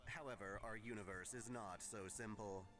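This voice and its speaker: A man narrating a scripted lore lesson in a measured, formal lecturing manner. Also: fairly low pitch, fairly even intonation. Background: music.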